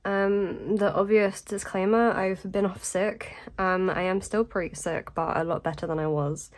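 A woman's voice: medium pitch, fairly even intonation, a little slow. A woman talking casually in a vlog voice-over while sick, with a blocked nose, a sore throat and a voice that keeps fading, rambling with lots of ums and little laughs. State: congested and nasal from illness. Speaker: vlogger.

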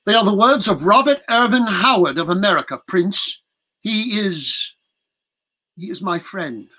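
A man's voice: big pitch swings, slow pace, fairly bright tone, breathy edge, heavily acted. A man voicing a defiant young English woman. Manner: raised pitch, earnest.

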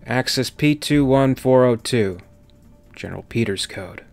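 A gruff, impatient military captain and pilot, played by a male audiobook reader. He speaks in a curt, scornful way, with music playing behind him. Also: mid-range voice, measured pace, a little mellow. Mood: gruff, bored. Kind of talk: audiobook character dialogue.

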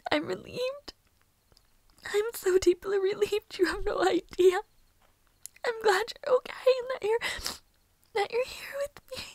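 A woman playing a fragile, devoted young maid in an intimate audio roleplay, speaking in a breathy, hesitant, tender whisper. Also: very high-pitched, a little mellow, no room sound, exaggerated voice. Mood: tearful relief.

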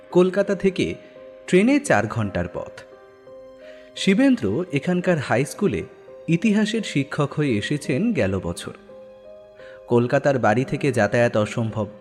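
A male narrator reads a scripted story with a highly varied, animated pitch. His voice trails long reverb, with music underneath.

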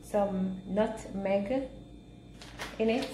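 Woman, slow-paced casual cooking demonstration, simple instructions, roomy echo, home kitchen. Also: medium pitch. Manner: unhurried, simple instructional talk. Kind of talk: casual step-by-step recipe demonstration. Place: small home kitchen, indoors. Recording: roomy echo, clear background noise.

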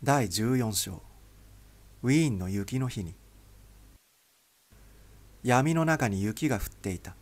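A man's voice: mid-range voice, animated, takes it slowly, pauses often. A man reading a novel aloud as a scripted, dramatic audiobook narration.

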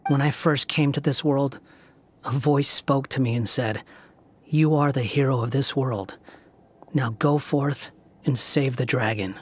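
A man's voice: medium pitch, measured pace, slight background noise, lightly acted. An actor playing an aloof, guarded young male wanderer in an anime game, terse and composed. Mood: matter-of-fact.

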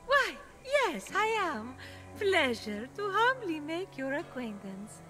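Sweet tree-bound goddess for a fantasy game: gracious, theatrical, unhurried and very melodic, over music. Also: female voice, high-pitched, very animated, bright, forceful tone, full character voice. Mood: sweet, humble. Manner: gracious, theatrical, saintly sincerity. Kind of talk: video game character acting. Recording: music behind the dialogue.

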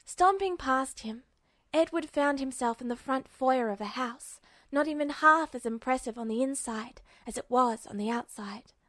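A woman's voice: high-pitched, moderate pace, a bit bright, no echo. A woman reading a story aloud as a scripted fiction narrator. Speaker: audiobook narrator.

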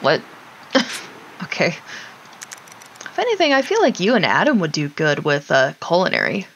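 A woman's voice: lowish voice, huge pitch swings, moderate pace, dull audio, slight background noise. A woman casually commenting on her life-simulation gameplay, chatting to her sims and laughing often.

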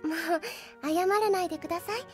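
A devoted middle-school girl in an anime audio drama. Her voice is extremely high and childlike. She speaks with sweet, formal politeness, over faint music. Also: moderate pace. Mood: polite, gentle. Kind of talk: Japanese anime drama CD acting.

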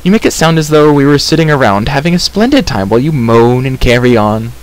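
A man's voice: medium pitch, a little fast, voice pushed up, lightly acted. A man voicing an outspoken young girl in a storybook, tart and scolding. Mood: indignant. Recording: clear background hiss.

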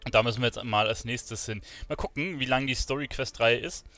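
A man's voice: medium pitch, a little fast, sharp tone, faint music behind. A man streaming an online role-playing game with casual, informal commentary, often thinking aloud.

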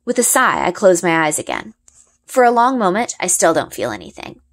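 A woman reading a children's fantasy novel aloud as an expressive storyteller. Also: on the low side, fairly quick. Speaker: audiobook-style reader.

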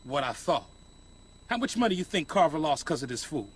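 A man's voice: a little high, very animated, brisk, slightly rough. An actor playing a menacing male gangland enforcer in a video game, coldly manipulative, taunting and theatrical. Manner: taunting, theatrical, rhetorical questions. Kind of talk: video game cutscene acting.

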